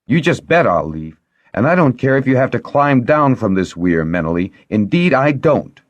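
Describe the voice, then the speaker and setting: A man's voice: lowish voice, wildly swooping pitch, moderate pace, a little mellow, no room sound, dull audio, pitched above the performer's own voice. A man voicing a blunt, scornful, hot-tempered girl in an audiobook story. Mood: angry. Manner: disapproving, derisive, forthright.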